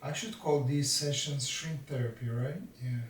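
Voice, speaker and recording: A man in his fifties rambling in a slow, self-mocking monologue to his vlog viewers, making jokey asides to himself. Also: mid-range voice, steady tone, slight background noise. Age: 50s. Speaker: vlogger. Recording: roomy, from a distance.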